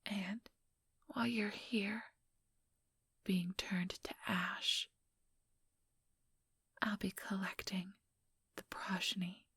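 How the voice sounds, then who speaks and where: A very breathy, whispering female vampire acted by a woman in an ASMR role-play. She speaks slowly and condescendingly, mock-sweet on the surface and coldly menacing beneath. The sound is close and dry. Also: medium pitch, steady tone, pushed and bright, no echo, full character voice. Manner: slow, taunting, mock-sweet. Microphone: close, dry microphone.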